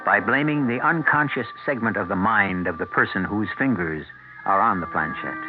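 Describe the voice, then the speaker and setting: A man hosting a 1970s radio drama, narrating in a measured, ominous, theatrical manner. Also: deep voice, vocal fry. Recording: phone-like old radio recording. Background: prominent music.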